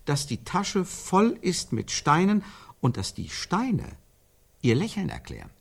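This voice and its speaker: A male actor in a radio play as an insistent, searching man who theorises in emphatic, repeated phrases with pauses for effect. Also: fairly high pitch, wildly swooping pitch, gravelly, husky, full character voice. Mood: argumentative, musing. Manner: emphatic, repetitive, probing, pausing for effect. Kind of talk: radio play dialogue, rhetorical repetition.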